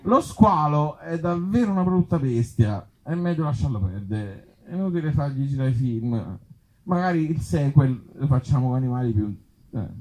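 A man from Italy reading absurd short comic stories aloud to a live gathering, with deadpan humour and offhand, hesitant asides. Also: medium pitch, poor audio. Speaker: author and actor reading his comic tales at a live event.

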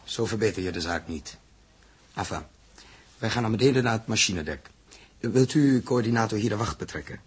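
A man playing a steady, authoritative spaceship captain with a rough, husky voice in a 1960s radio drama. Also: on the low side, normal speed, pauses often. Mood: firm command. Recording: old 1960s radio recording.

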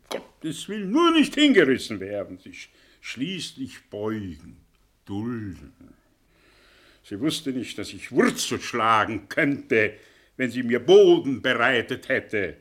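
An actor playing a vain, eloquent older intellectual in a German radio play, scornful and theatrical, speaking in grand rhetorical phrases. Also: male voice, mid-range voice. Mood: brooding passion. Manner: grandiose, rhetorical delivery with dramatic swells and hushes.

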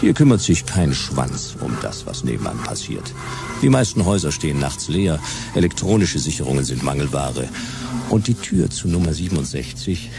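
An actor playing a hard-boiled private detective who narrates in a wry, clipped, slangy way in a radio play. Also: male voice, a bass voice, big pitch swings, moderate pace, creaky, over music, exaggerated voice. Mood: wry, determined.

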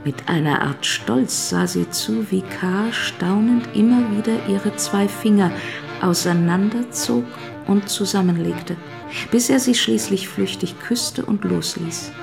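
Eine Frau erzählt als Sprecherin eines literarischen Hörspiels, gemessen und bedacht. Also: female voice, medium pitch, measured pace, gravelly, music in the background. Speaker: narrator. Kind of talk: literary narration in a radio play.

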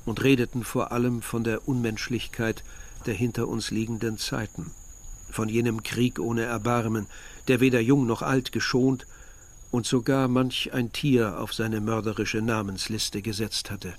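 Ein Schauspieler spielt den nachdenklichen jungen Dörfler, der in einem literarischen Hörspiel von seiner Reise erzählt. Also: male voice, medium pitch, steady tone, unhurried. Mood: sombre. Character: weary, observant young male villager narrating a journey. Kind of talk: literary radio drama narration.

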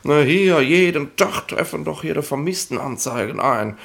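An actor playing a smug, petty police inspector in a 1960s German radio play, rambling in officialese with colloquial tag questions. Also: male voice, on the high side, animated, moderate pace, gravelly, fairly bright tone. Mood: smug, blunt.

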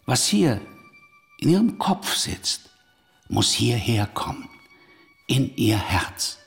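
Very husky, slow older mentor. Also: male voice, mid-range voice, big pitch swings, heavily acted. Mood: solemn, instructive. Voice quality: husky, gravelly. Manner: slow, deliberate, didactic. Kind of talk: radio play acting, quoted teachings. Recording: long reverb with music behind.

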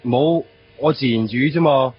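An actor playing a bumbling, timid, food-loving buddy in a Cantonese radio drama, with a hesitant, stammering, rambling manner and a Hong Kong accent. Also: male voice, medium pitch, moderate pace, close to an everyday voice. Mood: awkward, sheepish. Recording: dull lo-fi radio drama, noisy ambience.

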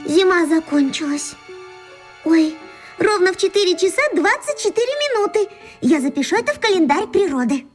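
A woman's voice: very high-pitched, lively intonation, moderate pace, soft background music. A woman playing a careful, earnest, curious little schoolgirl in a radio play. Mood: earnest, doubtful.

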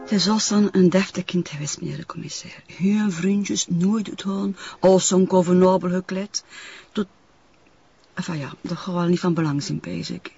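A nosy older West Flemish woman with a very husky, creaky voice. She chats haltingly in a guarded, gossipy way, as in a television police drama. The sound is slightly dull. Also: fairly low pitch, steady tone, dark tone, faint music behind, full character voice. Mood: confiding, gossipy. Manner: hesitant, chatty, trailing sentences with tag questions. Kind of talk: naturalistic television crime drama acting.

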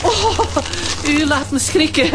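A woman's voice: sits high, animated, takes it slowly, background noise. A woman playing a guarded widowed surgeon in a radio drama. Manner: guarded, defensive. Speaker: surgeon, murder victim's widow. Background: music.

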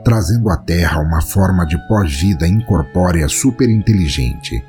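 A man's voice: low voice, lively intonation, moderate pace, mellow, faint music behind. A man with a Brazilian accent doing scripted storytelling and podcast hosting in a theatrical, florid manner. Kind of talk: scripted audio-drama narration and hosting.

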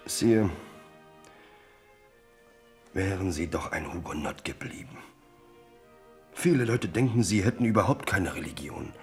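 Low-voiced, blunt soldier courtier. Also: male voice, expressive, unhurried, pauses often, faint music behind. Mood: blunt, provoking. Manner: frank, sly, feigns indifference. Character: blunt old-soldier courtier, loyal yet needling the king. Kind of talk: German radio drama acting.

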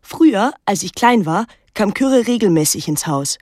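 An actress playing a wary, withdrawn teenage daughter who narrates her island story in a measured, introspective radio drama style. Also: mid-range voice, expressive, a little mellow, no room sound, clean studio sound, close to an everyday voice. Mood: pensive.

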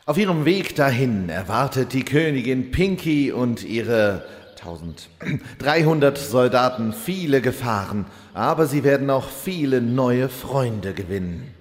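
A man's voice: mid-range voice, expressive, a little slow, close to an everyday voice. A man playing a pompous, solemn storyteller, narrating a mock fantasy epic from a script. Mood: solemn, grandiose. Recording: long reverb, faint music behind.

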